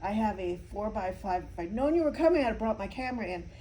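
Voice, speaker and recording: A woman's voice: medium pitch, animated, quick pace, warm tone, a little hiss or hum, rough recording. A woman artist being interviewed, chatting casually and explaining things with enthusiasm.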